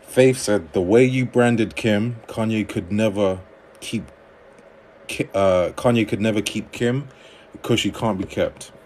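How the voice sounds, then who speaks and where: A man hosting a livestream on dating advice, reading viewer comments aloud and answering them in a relaxed conversational way. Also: fairly low pitch, unhurried, slight background noise.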